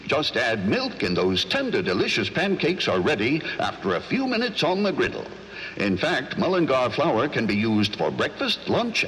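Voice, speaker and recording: A man's voice: mid-range voice, fairly bright tone, slight background noise. An American man announcing news and adverts in polished, emphatic 1940s radio style. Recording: reverberant old-time radio sound.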